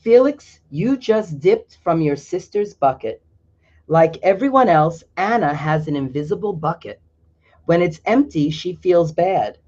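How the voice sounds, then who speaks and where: A man voicing a kind old grandfather who speaks in a gentle, teasing way, in a dull lo-fi recording. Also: fairly high pitch, lively intonation, unhurried.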